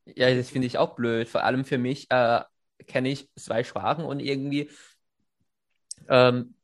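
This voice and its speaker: A man's voice: medium pitch, flat delivery, measured pace. A man whose first language is Chinese, speaking German in a casual conversation, hesitant with many fillers and restarts. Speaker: conversation partner.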